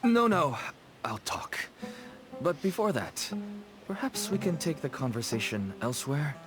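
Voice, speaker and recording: A man's voice: fairly high pitch, very animated, a little slow, a bit bright. An actor playing a nervous, ingratiating merchant father with a shady trade in a video game. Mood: anxious, placating. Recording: game music behind the voice.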